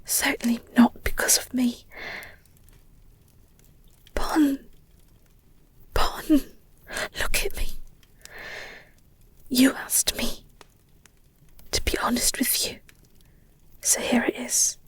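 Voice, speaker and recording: A woman performing an audio roleplay as a protective, devoted werewolf girlfriend, speaking in an earnest, tender, halting way with a breathy whisper. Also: on the high side, flat delivery, dry recording, exaggerated voice. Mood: regretful, tender.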